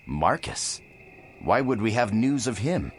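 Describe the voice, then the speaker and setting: An actor playing a wary, earnest rebel fighter in a video game, with a questioning, dramatic manner. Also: male voice, fairly low pitch, big pitch swings, measured pace, slight background noise. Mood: puzzled. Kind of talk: video game cutscene acting.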